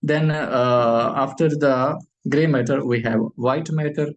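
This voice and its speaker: A Pakistani man, a native Urdu speaker, giving a medical lecture in English, pausing often on fillers, repeating phrases and posing questions he then answers. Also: mid-range voice, steady tone, lo-fi sound, slight background noise.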